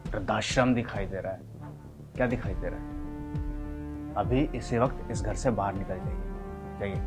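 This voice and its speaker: A man's voice: lowish voice, lightly acted. A man playing an angry, domineering husband in a melodramatic family drama, speaking scornfully and insistently to his mother-in-law. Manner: scornful, confrontational, repeats demands insistently. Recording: dramatic music underneath.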